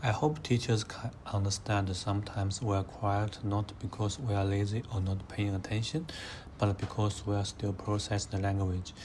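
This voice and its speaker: 18 y/o guy w mandarin accent, kinda low voice, careful answers with lots of uh, faint hiss behind. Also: little pitch movement, a little mellow. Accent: Mandarin Chinese. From China. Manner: measured, hesitant with uh fillers. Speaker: interviewee, high school student.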